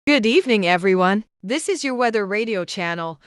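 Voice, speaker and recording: A woman's voice: medium pitch, expressive, fairly quick, clear, resonant tone, no room sound, clean studio sound. A woman announcer reading a scripted bulletin for a weather radio channel.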